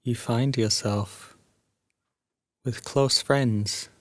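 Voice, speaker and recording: A man guiding a sleep meditation as a narrated story, soothing and hushed, leaving long pauses between phrases. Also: medium pitch, steady tone, no room sound.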